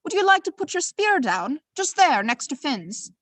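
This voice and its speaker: A woman plays a giant's wife from an Irish storybook, sly and sweetly hospitable. Her voice is pitched high and swoops with chatty, disarming politeness in a put-on Irish accent. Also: sing-song, normal speed, a bit bright, no echo. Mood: sweetly welcoming. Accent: attempted Irish.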